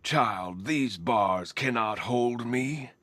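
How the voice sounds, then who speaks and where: An actor playing a self-righteous, serenely menacing zealot villain in a video game, sermonizing in a sanctimonious, husky voice. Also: male voice, medium pitch, extremely slow, fairly bright tone, no room sound. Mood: righteous defiance.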